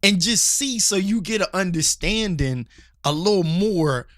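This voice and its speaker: Rambling, passionate man ranting slowly. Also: sits high, animated, a bit bright, dry recording, clean studio sound. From Philadelphia. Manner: passionate, rambling, many restarts. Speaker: podcast co-host. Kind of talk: casual church-culture chat and rant. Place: studio table with co-host.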